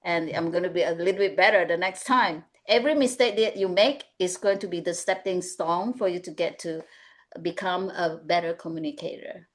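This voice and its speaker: A woman's voice: lowish voice, moderate pace. Woman. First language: Mandarin. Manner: earnest, advice-giving, often adds "right?". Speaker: panelist, executive coach. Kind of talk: webinar panel discussion.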